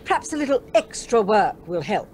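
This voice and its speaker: A woman's voice: mid-range voice, big pitch swings, fairly quick, a little mellow, slight background noise, lightly acted. An actress playing a stern, sarcastic woman commandant with a haughty, cutting manner in an audio play. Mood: icy, scolding.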